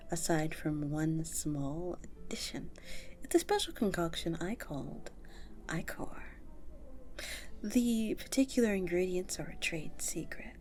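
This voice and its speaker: A woman voicing a friendly, nurturing vampire tavern keeper in an audio roleplay, chatting to the listener in a coaxing, conversational way. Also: medium pitch. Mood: welcoming, cheerful, then confiding, coaxing. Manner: animated, coaxing, conversational with little chuckles.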